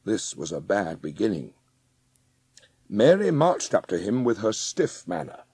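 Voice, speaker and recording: A man reading scripted storybook narration as the narrator of a dramatised audiobook, with a husky voice. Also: on the low side, very animated, unhurried, darkish tone, dry recording, clean studio sound.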